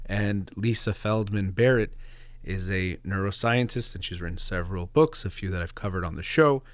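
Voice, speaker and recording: Man, radio host, low pitch, reflective monologue, explanatory, slightly hesitant, dry close-mic sound. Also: no echo. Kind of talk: reflective solo talk show monologue.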